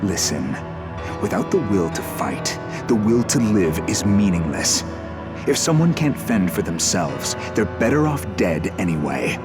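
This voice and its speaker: A man's voice: sits low, sing-song, unhurried. A man playing a ruthless, zealous human warrior in a video game, contemptuous and commanding, with music behind him. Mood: cold contempt. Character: ruthless, zealous human man who despises demons and weakness. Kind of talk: dramatic video game voice acting. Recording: loud game music underneath.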